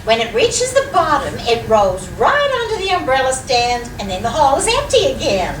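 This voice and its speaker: Lady playing dizzy sweet wife in a radio comedy, high swoopy voice, echoey stage recording. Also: wildly swooping pitch, normal speed, a bit bright, recorded from a distance, full character voice. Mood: innocently pleased. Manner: fluttery, innocent, coaxing. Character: scatterbrained, sweetly scheming wife. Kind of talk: old-time radio comedy acting on stage. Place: hall at a lodge, live performance. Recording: echoey, noisy live stage recording from afar.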